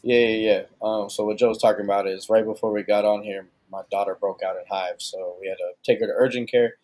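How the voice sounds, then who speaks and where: A relaxed man with a low voice co-hosts a casual podcast over a video call. His speech is slangy and joking, and he keeps the questions moving. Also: brisk, no room sound. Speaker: podcast co-host and father.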